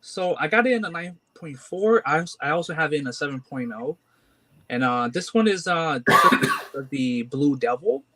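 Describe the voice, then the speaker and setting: A man's voice: a little high, expressive, normal speed, a bit bright, slight background noise. Hesitant young man, fillers everywhere. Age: 20s. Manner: hesitant, many fillers and restarts. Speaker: guest collector. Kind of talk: casual chat.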